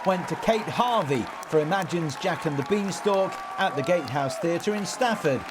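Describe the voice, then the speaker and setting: A man's voice: on the high side, expressive, normal speed. Male presenter narrating over crowd hubbub. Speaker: podcast presenter. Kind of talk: scripted narration. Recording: crowd hubbub and noisy background.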